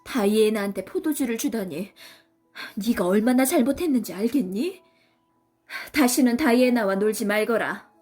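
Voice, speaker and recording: Woman acting a scolding, husky-voiced mother. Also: on the high side, expressive, moderate pace, darkish tone, soft background music. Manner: reproachful, stern. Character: angry, scolding mother of a young girl. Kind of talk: children's storybook character acting.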